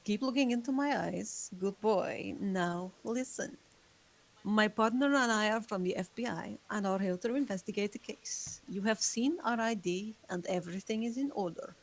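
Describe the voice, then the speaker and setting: A woman reading an ancient, hypnotic female vampire at a table read, calm and soft-spoken. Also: medium pitch, normal speed, lightly acted, low-quality recording. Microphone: online voice call.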